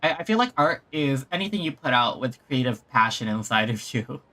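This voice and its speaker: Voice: mid-range voice, animated, a little fast, bright, forceful tone. A streamer giving casual, informal and reactive live commentary while playing a video game. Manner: informal, reactive, reads game lines aloud. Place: game stream, game music behind.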